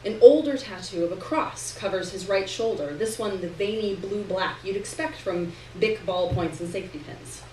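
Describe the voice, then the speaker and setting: A woman reads literary fiction in the first person to a live room. Her delivery is expressive, with deliberate pauses and shifting emphasis. The sound is echoey and distant, with faint noise behind her. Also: mid-range voice. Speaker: reader performing a novel chapter to an audience. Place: live reading event in a room.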